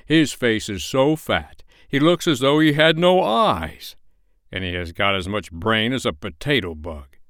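Grumbling storybook farm horse, acted by a man, blunt and self-righteous, lively gravelly delivery. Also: lowish voice, measured pace, dry recording, studio quality. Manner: blunt, grumbling, matter-of-fact. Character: blunt, grumbling male plow horse, proud of his patience. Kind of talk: children's storybook character voice.

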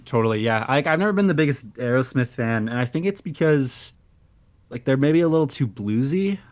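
A man's voice: medium pitch, animated. A man co-hosting a music podcast, easygoing and agreeable in casual chat. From Canada. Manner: easygoing, agreeable, quick reactions. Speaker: podcast co-host.

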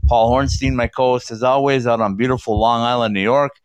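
A man hosting a sports talk show, chatting loosely with a slightly hoarse voice. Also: medium pitch, steady tone, brisk, dry recording. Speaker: show host. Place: home studio in Scottsdale.

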